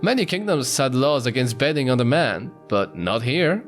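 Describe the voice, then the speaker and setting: A man voicing a confident adult cougar narrating his life in a dramatic visual novel read-aloud, with measured storytelling. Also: medium pitch, sing-song, close to an everyday voice. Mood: matter-of-fact. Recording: faint guitar music behind.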